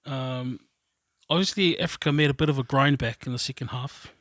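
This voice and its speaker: A man's voice: mid-range voice, fairly quick, fairly bright tone, dry recording. Cheeky New man, dry sound. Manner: slangy, cheeky. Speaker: podcast co-host. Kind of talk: casual sports banter.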